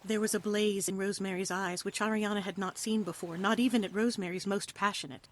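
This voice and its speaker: A woman narrates an adventure story from a script. Her voice sits at a middle pitch, and her delivery is expressive and theatrical, suited to an audio play. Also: fast. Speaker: narrator, reader.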